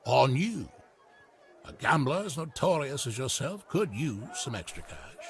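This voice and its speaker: An actor playing a genteel, persuasive professor in a video game, speaking formally and insistently. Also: male voice, mid-range voice, sing-song, a little slow, a little hiss or hum. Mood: pointed. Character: genteel, persuasive male professor leading an agency mission.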